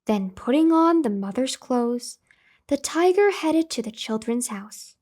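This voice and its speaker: A woman narrating a scripted children's bedtime story as host, with careful, expressive enunciation. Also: a little high, measured pace.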